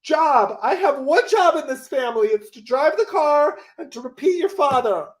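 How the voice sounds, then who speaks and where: A man's voice: very high voice, expressive, normal speed. A man voicing an indignant, haughty mother in improvised sketch comedy. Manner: haughty, emphatic, scolding. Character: mother, indignant wife who parrots her husband.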